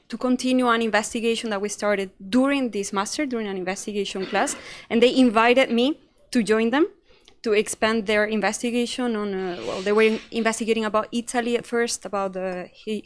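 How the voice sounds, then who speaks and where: A young woman from Spain with a Spanish accent, telling students about her first job in a chatty, self-deprecating way. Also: a little high. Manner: chatty and self-deprecating, acts out her own past thoughts. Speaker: panel speaker, investigative journalist. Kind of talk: casual panel talk to students.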